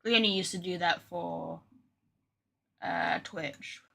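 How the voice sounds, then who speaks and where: A woman's voice: fairly low pitch, slow, creaky, fairly bright tone, breathy edge. A woman game streamer chatting casually to her viewers, rambling and poking fun at herself. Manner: chatty, rambling, self-deprecating. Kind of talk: casual live-stream chat.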